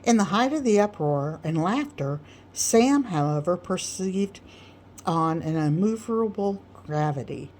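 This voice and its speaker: Woman reading a classic novel out loud, kinda low voice, measured and deliberate. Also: lively intonation, slight background noise. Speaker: audiobook reader. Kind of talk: read-aloud literary narration.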